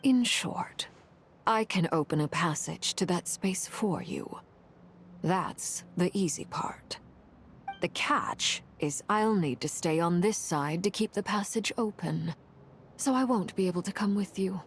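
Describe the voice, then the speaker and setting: Slow, solemn mystical woman. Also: fairly low pitch, a little hiss or hum. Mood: solemn, explaining. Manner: measured, grave, formal. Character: solemn, composed young woman, mystical ritual keeper. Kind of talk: fantasy game voice acting.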